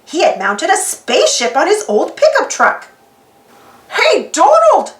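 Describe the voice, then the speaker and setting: A woman reading a children's storybook aloud in an animated narrating style. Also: high-pitched, moderate pace. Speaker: storybook reader. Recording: roomy, slightly distant mic.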